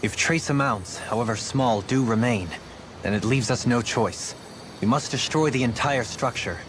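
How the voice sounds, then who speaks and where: A man's voice: medium pitch, moderate pace, background noise, natural voice. A man playing an earnest, composed adventurer in an English-dubbed fantasy video game. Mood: resolute.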